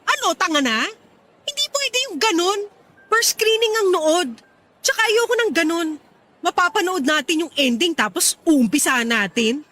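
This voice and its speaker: A woman's voice: very high-pitched, lively intonation, moderate pace, bright, forceful tone, slight background noise, exaggerated voice. A woman playing an irritable, fed-up young girlfriend in a radio drama, sulky, scolding and sarcastic. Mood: irritated, scolding.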